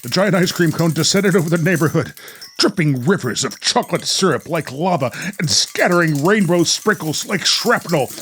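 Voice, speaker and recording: A man's voice: on the high side, expressive, normal speed, fairly bright tone, soft background music. A man working as host and narrator of a radio play, moving between storytelling and casual talk.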